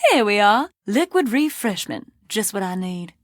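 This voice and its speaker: An actress playing an eager, grateful resort guest in a game. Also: female voice, mid-range voice, lively intonation, normal speed, no echo, studio quality, natural voice. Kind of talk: game voice acting.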